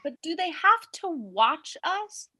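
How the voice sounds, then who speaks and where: A woman's voice: very high voice, very animated, slow, pushed and bright, full character voice. A woman playing a blunt, reluctant skeptic in a staged reading of a play. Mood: reluctant, skeptical.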